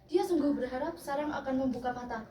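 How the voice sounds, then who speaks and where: A woman's voice: high-pitched, fast talker, mellow. A woman reads a story aloud to friends, breaking off to joke. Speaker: reader of a story. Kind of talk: casual reading aloud with banter. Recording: roomy, distant, noisy.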